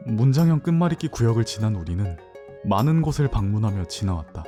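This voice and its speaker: A man acting in an audio drama as a hesitant, introspective newcomer, narrating in a soft, reflective way. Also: fairly low pitch, very animated, fast, faint music behind.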